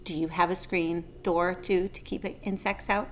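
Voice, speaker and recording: A woman reading a children's story aloud like a teacher, expressive and addressing young listeners. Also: on the low side, moderate pace, a little hiss or hum. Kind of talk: storybook narration.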